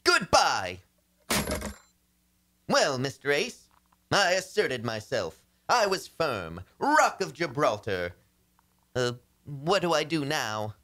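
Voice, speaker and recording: An actor playing a meek, henpecked husband who tries to sound firm, earnest and fussy, in a radio comedy. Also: male voice, a little high, sing-song, takes it slowly, long pauses, bright, forceful tone, heavily acted. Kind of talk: old-time radio comedy acting.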